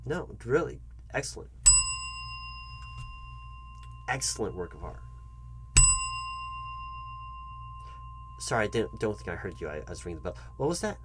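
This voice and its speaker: A man playing a foolish, patronizing job interviewer in an ASMR comedy roleplay, speaking to the listener in a halting, repetitive, mock-earnest way. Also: fairly low pitch, big pitch swings, very noisy. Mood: mock admiration. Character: foolish, condescending male job interviewer toying with the candidate.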